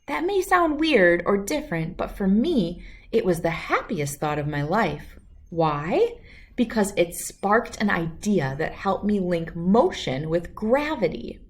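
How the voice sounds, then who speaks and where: A woman reads a children's picture book aloud. Her voice sits at a middle pitch, and she tells the story expressively, as a storyteller for young listeners. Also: sing-song, a little slow.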